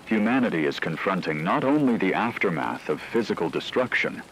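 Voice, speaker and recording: A man playing a grave radio newscaster, reading scripted emergency narration in a solemn, measured way. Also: deep voice, a bit bright, a little hiss or hum, rough recording. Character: grave male radio newscaster reporting an apocalypse's aftermath.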